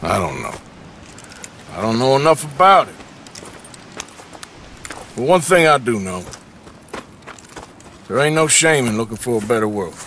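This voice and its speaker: A man playing a weary, gruff outlaw cowboy with a dry Western American drawl in a video game cutscene. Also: mid-range voice, very animated, a little slow, pauses often, a bit bright, a little hiss or hum. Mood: subdued, reflective. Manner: dry, plain-spoken drawl. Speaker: game voice actor.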